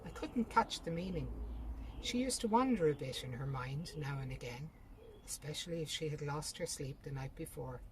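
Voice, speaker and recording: A woman's voice: low voice, lively intonation, moderate pace, darkish tone, a little hiss or hum, low-quality recording. A woman voicing a practical, bossy, consoling sister in a short story. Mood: matter-of-fact.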